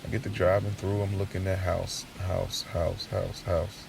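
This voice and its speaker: A man playing a streetwise young man just out of jail, telling his story conversationally in casual slang, with a gravelly voice, in an audio drama. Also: low voice, little pitch movement, unhurried, far from the mic, background noise, full character voice. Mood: excited, puzzled. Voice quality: gravelly, creaky.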